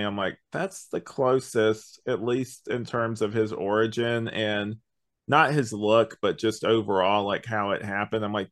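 A man chatting casually as a podcast host, hedging and thinking out loud as he reviews. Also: fairly low pitch.

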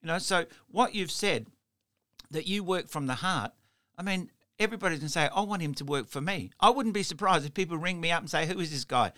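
A man's voice: a little high, lively intonation, a little fast, pushed and bright. A middle-aged man from Italy with an Australian accent, hosting a casual interview and telling chatty stories with lots of "you know". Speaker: podcast host.

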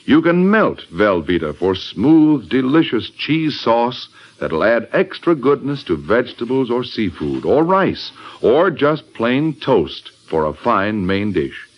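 Animated American radio pitchman, dull recording. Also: slow pace. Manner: friendly, folksy sales pitch. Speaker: radio announcer, sponsor spokesman. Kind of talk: scripted 1940s radio commercial.

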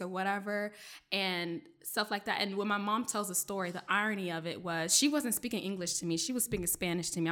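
Young woman from maryland, french was her first language, bright clear voice, chatty and laughs a lot. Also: mid-range voice. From Maryland, USA. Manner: chatty, many fillers, laughs often. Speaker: journalist, guest. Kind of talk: casual interview storytelling.

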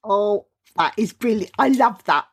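A woman's voice: mid-range voice, expressive, slightly rough. A woman with a Southern English accent, chatting enthusiastically as a podcast guest. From southern England. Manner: effusive, quick to enthuse. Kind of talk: casual podcast chat.

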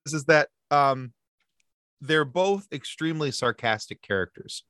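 A man's voice: on the high side, measured pace, dry recording. Sarcastic man in his thirties, dry sound. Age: 30s. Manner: sarcastic, animated, with frequent restarts. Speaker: podcast guest. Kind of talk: casual chat.

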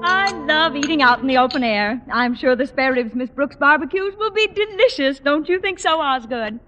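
A placating middle-aged wife in a vintage radio comedy. Her high voice rises and falls as she coaxes sweetly. The old recording is dull and reverberant. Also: lively intonation, normal speed, sharp tone, faint music behind. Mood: pleasant. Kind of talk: old-time radio situation comedy acting.

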